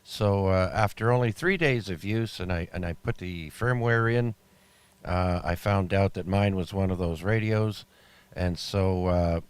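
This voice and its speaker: A man acting as net controller on an amateur radio net, talking in a relaxed, halting way with many fillers. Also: low-pitched, clean tone, no room sound. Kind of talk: ham radio net chatter. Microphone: radio transceiver mic. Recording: noisy shortwave radio audio.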